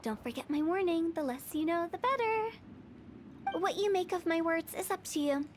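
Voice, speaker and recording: A woman's voice: very high voice, normal speed, slight background noise. An actress playing a playful, eccentric young woman who runs a funeral parlor, teasing and cryptic as she tells old stories. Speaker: game character. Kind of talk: video game voice acting. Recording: game audio with music underneath.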